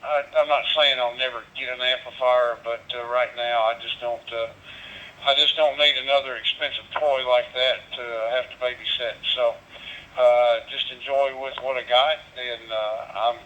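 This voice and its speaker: Bright-voiced man on dull radio link. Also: medium pitch, fairly even intonation. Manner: halting, folksy phrasing. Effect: digital radio transmission. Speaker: amateur radio operator. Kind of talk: casual ham radio chat. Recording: dull, lo-fi digital radio audio.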